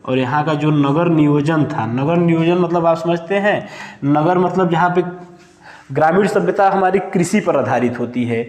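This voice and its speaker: A male teacher lecturing and dictating notes, asking questions and answering them himself, slowing down to spell out each word as he writes. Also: fairly high pitch, a little mellow. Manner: asks and answers his own questions, slows down to dictate words while writing. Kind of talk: classroom lecture with note dictation. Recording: echoey room with long reverb.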